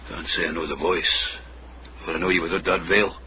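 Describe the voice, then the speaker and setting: An actor playing a sardonic, streetwise Scottish fixer for hire, dry and glib, in a period radio drama. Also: male voice, sits low, steady tone, fast talker, lightly acted. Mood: glib, bargaining. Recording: muffled radio broadcast, clear background noise.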